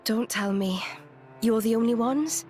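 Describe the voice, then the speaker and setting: A blunt young woman fighter in a video game cuts straight to the point, as a woman voices her. Her voice is high and brusque, with faint music underneath. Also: unhurried, a little mellow, close to an everyday voice.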